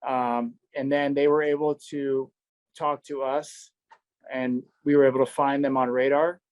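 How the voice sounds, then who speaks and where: A man with a medium-pitched voice gives a first-person account in a conversational interview style. His intonation stays fairly level, and his wording is informal, like a controller telling what happened on shift. The sound is dry, with very little room around the voice. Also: level tone, measured pace, smooth, no echo, lo-fi sound. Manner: informal, quotes dialogue as he retells. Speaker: air traffic controller recounting an incident.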